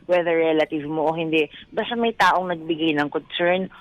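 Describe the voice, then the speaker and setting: A high-voiced male radio co-host with a Filipino accent talks in a casual, conversational way. He gives listeners advice, switching into English phrases, and the sound is close and dry. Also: normal speed, no echo, phone-quality sound.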